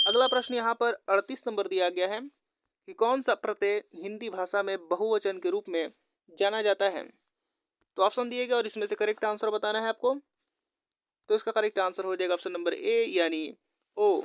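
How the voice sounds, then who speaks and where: A woman narrates an exam-practice lesson as a teacher. Her voice is smooth and clear at a middle pitch, with very little room sound. She is methodical and instructional, restating each question and answer. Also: no echo. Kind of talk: scripted quiz lesson narration.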